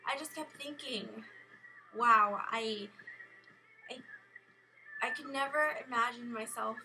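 A young American woman telling a rambling, casual vlog story in an expressive way, acting out exchanges as she goes. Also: on the high side, takes it slowly, slight background noise, poor audio. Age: young adult. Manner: animated, expressive, acts out exchanges. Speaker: vlogger. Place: bedroom at home. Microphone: built-in camera or webcam mic at arm's length.

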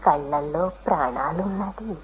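A woman's voice: low voice, moderate pace, lightly acted. An actress playing an elderly, devout hermit woman in a Telugu radio play, tender and emotive, anxiously awaiting her lord. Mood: devoted, eager. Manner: emotive, devotional, lingering delivery. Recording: noisy old radio play recording.

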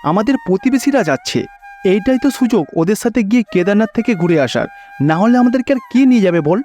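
Man as friendly villager, high voice, hearty, chatty, cartoon story, faint music. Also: animated, fast, warm tone. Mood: persuasive. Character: cheerful, friendly middle-aged village man, old friend.

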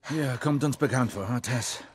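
An actor playing a gruff, distrustful middle-aged smuggler in a video game, weary and sardonic. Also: male voice, mid-range voice, normal speed, close to an everyday voice. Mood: exasperated. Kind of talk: dramatic video game dubbing. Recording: game music behind the voice.

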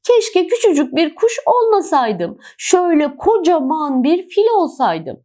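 A woman's voice: sits high, big pitch swings, measured pace, mellow, pitched above the performer's own voice. A woman voicing a tiny, weary and wistful young sparrow in a children's storybook, sighing and plaintive.

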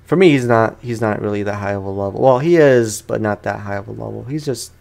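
A man's voice: fairly low pitch, brisk, creaky, darkish tone. Young man doing slangy gaming commentary. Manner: slangy, informal. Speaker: gaming YouTuber. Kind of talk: casual let's play commentary.

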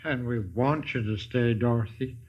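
A man playing a gentle, devoted, friendly companion in a 1950s radio play, with a husky voice. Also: mid-range voice, moderate pace, close to an everyday voice. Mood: gentle, fond. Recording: dull vintage radio recording.

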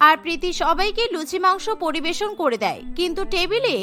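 Casting: female cartoon story narrator, very high and bright, scripted read. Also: lively intonation, fairly quick, soft background music.

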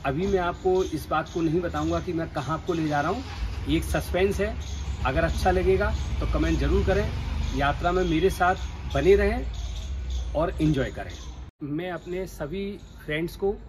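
A man's voice: on the high side, normal speed, noisy background. A middle-aged man talking straight to viewers in a casual travel vlog, recorded on a phone camera outdoors and in a moving car. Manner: chatty, addresses viewers directly. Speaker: travel vlogger. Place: outdoors by trees, then inside a moving car.

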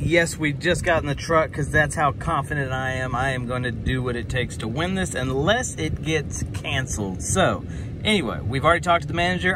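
A man's voice: mid-range voice, noisy background. A man giving casual, chatty vlog commentary, thinking aloud in a folksy way. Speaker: vlogger buying storage units at auction. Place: partly inside a truck, hand-held filming of a laptop screen.

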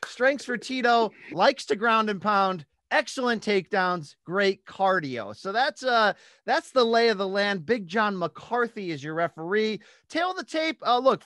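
A man's voice: high-pitched, a bit bright, no room sound, clean studio sound. An American man in his forties hosting a sports podcast, giving punchy, emphatic breakdowns and putting questions to his guest. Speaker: podcast host, interviewer. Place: small home studio with posters. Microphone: studio mic on a boom arm, close.

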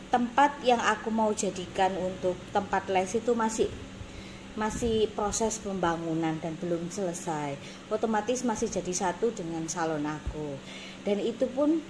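A noisy phone recording made in a small room carries a woman in her thirties with an East Javanese accent. Her voice sits at a medium pitch. She explains things casually but emphatically, bluntly repeating herself to drive each point home. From Indonesia. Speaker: beauty salon owner and course teacher. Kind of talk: casual vlog talking to camera. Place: small indoor salon office. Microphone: phone at arm's length.

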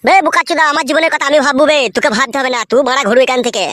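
Man doing a rapid-fire, high-pitched hot-headed cartoon guy. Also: sharp tone, dry recording, studio quality. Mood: angry. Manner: foul-mouthed, belligerent. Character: stubborn, lovesick, hot-headed married young man. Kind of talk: crude comedy cartoon dialogue.

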